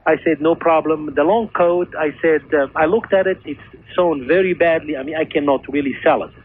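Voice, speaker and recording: A man's voice: on the high side, fairly quick, dry recording. A middle-aged man calling a phone-in show, telling his story in an earnest, insistent way with non-native phrasing. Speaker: caller, clothing store owner. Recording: tinny phone line with hiss.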